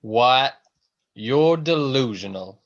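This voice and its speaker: A man's voice: mid-range voice, expressive, slow pace, dull audio. A man playing a cheeky, sarcastic teenage son in an amateur radio drama. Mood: scornful.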